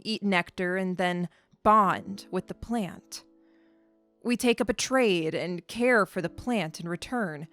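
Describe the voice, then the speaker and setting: A woman playing a stranded alien creature in an audio drama, stoic and reflective, with a deliberate, solemn way of telling things. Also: mid-range voice, lightly acted. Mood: solemn, explanatory.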